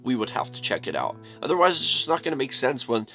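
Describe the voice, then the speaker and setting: A man's voice: mid-range voice, very animated, fast talker, sharp tone, soft background music. A man in his thirties with a stuffy, nasal voice, chatting casually and rambling as he hosts a reaction video from a small room. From New York, US. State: congested, stuffy nose. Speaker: YouTube reaction host. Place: small room full of horror collectibles.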